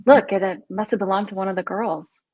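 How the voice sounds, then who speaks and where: A woman acting as a spooked young female camp counselor in a horror table read. Her voice is husky and mid-pitched, and she sounds earnest. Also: a little fast, a little mellow, close to an everyday voice. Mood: uneasy.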